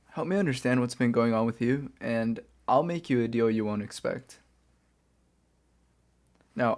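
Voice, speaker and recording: Man acting a smug, soft-spoken supervillain. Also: medium pitch, close to an everyday voice. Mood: puzzled, coaxing. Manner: casual, wry, conversational. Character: smug male supervillain turning reformed, playfully chatty kidnapper. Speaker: audio roleplay performer.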